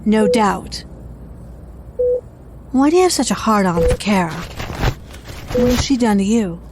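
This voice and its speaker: A woman's voice: medium pitch, expressive, slow pace, background noise. A woman playing a doctor who mocks and defies her captor. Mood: defiant. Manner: mocking and defiant. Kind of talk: indie audio drama acting.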